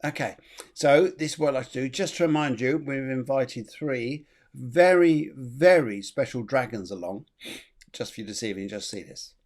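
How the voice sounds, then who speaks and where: A man's voice: medium pitch, normal speed, darkish tone. Chatty rambling male show host. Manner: chatty, rambling, laughs often. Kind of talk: live online chat show.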